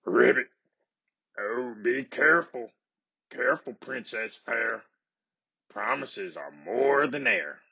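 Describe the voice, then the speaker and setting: A man voicing a timid, lonely little frog with a scratchy, croaking voice. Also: medium pitch, animated, slow pace, long pauses, pushed and bright, voice pushed up, exaggerated voice. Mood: warning. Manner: croaks "ribbit" before speaking. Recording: dull lo-fi recording.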